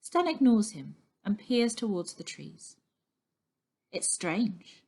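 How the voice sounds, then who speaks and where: A woman's voice: medium pitch, very animated, takes it slowly, warm tone, slightly rough. A woman reading a children's novel aloud as scripted narration. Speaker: story reader.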